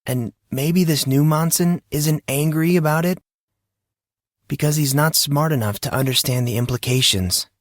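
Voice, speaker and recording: A man's voice: fairly high pitch, normal speed, dry recording, studio quality, voice pushed up, lightly acted. A man voicing an intense, troubled teenage boy in an audiobook drama, earnest and self-deprecating, with impassioned, dramatic delivery. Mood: anguished confession.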